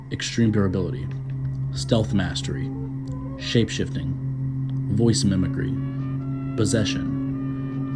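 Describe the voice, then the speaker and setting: A man with a fairly low voice delivers scripted, informational narration. His delivery is measured and formal, with music clearly playing behind him. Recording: loud background music.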